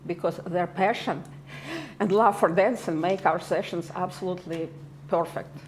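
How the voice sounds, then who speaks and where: Enthusiastic Russian-accented woman, lowish voice. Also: fairly even intonation, moderate pace, slight background noise. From Lithuania. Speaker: dance club member and guest. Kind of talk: interview chat.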